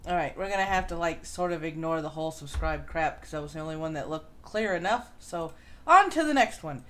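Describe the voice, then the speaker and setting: A woman's voice: low-pitched, lively intonation, fairly quick, fairly bright tone. A woman hosting a show, chatting casually and reading out background notes. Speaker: host of a riffing show.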